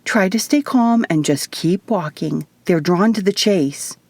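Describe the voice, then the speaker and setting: Woman acting mischievous, excitable young hybrid girl. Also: lowish voice, unhurried, no room sound. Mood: alert.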